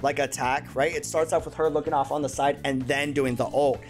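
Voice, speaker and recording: A young adult man giving excited, slangy, effusive casual reaction commentary on a video game at his desk. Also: a little high, brisk. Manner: effusive, slangy, uses bro and dude. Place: small indoor room at a desk. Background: game trailer music.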